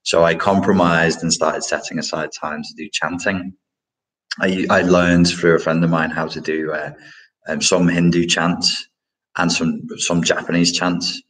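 A man's voice: sits low, little pitch movement, a little slow, a bit bright, low-quality recording. A man hosting a casual live question-and-answer stream, talking in a rambling, reflective way with many fillers and restarts. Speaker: livestream host answering chat questions.

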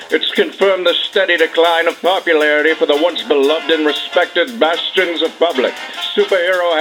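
Casting: actor as solemn male newsreader, formal delivery, slightly high voice, music underneath. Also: lightly acted, poor audio. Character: serious male radio news reporter. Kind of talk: formal scripted news report.

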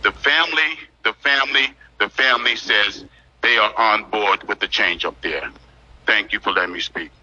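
A man's voice: fairly low pitch, normal speed, bright, forceful tone. A man giving public comment at a city council meeting, casual and direct. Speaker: public speaker. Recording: dull lo-fi meeting audio.

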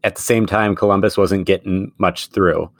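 A man's voice: sits low, steady tone, normal speed, dry recording. A man who is a middle school teacher, co-hosting a casual sports podcast and laughing often as he talks. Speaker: podcast co-host.

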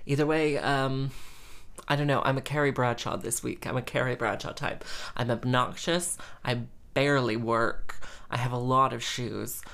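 A woman's voice: steady tone, normal speed, gravelly, a bit bright. Playful, self-mocking young woman. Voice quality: says she has a squeaky voice. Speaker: musician and vlogger. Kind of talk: casual rambling monologue.

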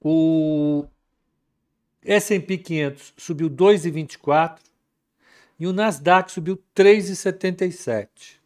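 A man hosting a casual live market commentary, relaxed and chatty, reading viewer comments aloud. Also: a little high, slow. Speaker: market commentary livestream host.

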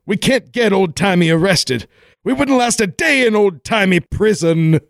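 Man playing a high-voiced, animated adventurer sidekick. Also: normal speed, creaky, no echo, studio quality. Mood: hesitant, urging. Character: hesitant, sensible American adventurer sidekick. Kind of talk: parody 1940s radio serial acting.

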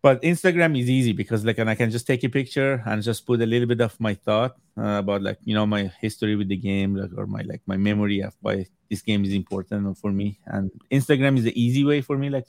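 Gravelly-voiced man, Farsi native, chatting on a video call. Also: fairly low pitch, no echo, studio quality. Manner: hesitant, many fillers and restarts. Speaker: podcast guest, game collector. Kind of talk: casual chat.

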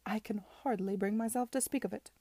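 A bitter, evasive young gentleman from a period storybook, voiced by a woman. The voice is soft-edged and husky, close and dry. He speaks haltingly and defensively, as if each admission is grudged. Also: medium pitch, dry recording, close to an everyday voice. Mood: ashamed. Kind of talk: audiobook character dialogue.

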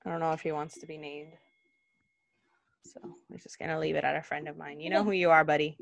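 A young woman with a notably low-pitched voice chats casually as a podcast co-host, laughing easily and filling pauses with ums. The sound is dry and close, with no room around it. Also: little pitch movement, creaky, no room sound. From Cuba. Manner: chatty, laughs often, trails off with ums.

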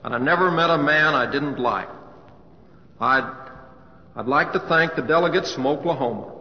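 An actor playing a humble, affectionate middle-aged American cowboy humorist with homespun, hesitant phrasing in an old radio drama. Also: male voice, a little high, moderate pace, slightly rough. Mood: humble, moved. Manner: homespun, drawling folksy phrasing with gentle hesitations. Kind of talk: 1950s radio drama acting. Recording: muffled old radio recording, hiss, long reverb.

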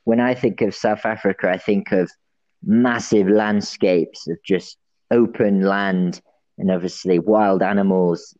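A man's voice: lowish voice, a little mellow, no room sound, highs cut off. A man interviewing a guest in a friendly, encouraging way who laughs easily. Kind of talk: casual interview.

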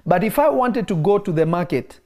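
A man who grew up in Kenya, preaching in a relaxed interview and driving his points home by repeating key phrases. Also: high voice, fairly quick. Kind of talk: conversational preaching.

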